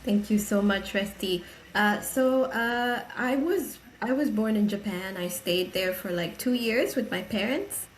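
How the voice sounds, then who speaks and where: A young woman from Tanzania answering interview questions, measured and pausing often with fillers. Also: medium pitch, low-quality recording. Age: young adult. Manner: measured, hesitant, many filler pauses. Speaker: guest, gender equality advocate.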